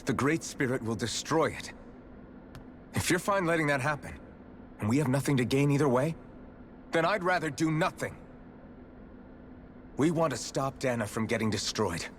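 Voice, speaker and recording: A man's voice: mid-range voice, animated, normal speed, pauses often, a bit bright, slight background noise, lightly acted. An actor playing a stubborn, protective young male hero in a video game cutscene, talking bluntly and defiantly. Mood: angry. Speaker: game character.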